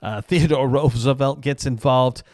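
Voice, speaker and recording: A man's voice: medium pitch, fairly even intonation, unhurried, a little mellow, dry recording, clean studio sound. A man hosting a casual solo review, talking in an informal, enthusiastic, gushing way. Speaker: reviewer, show host.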